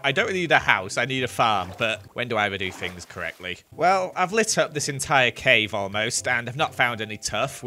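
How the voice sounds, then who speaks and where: A young man chatting through a gaming playthrough, jokey, with excitable outbursts. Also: medium pitch. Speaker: gaming youtuber. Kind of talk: playthrough commentary.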